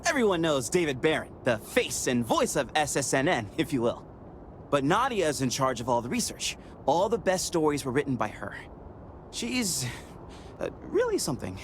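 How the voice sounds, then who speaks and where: Awkward young intern, high voice, very lively, stammering, excitable, game dialogue. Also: male voice, moderate pace, slight background noise. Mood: excited, awkward. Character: eager, awkward young male news research intern.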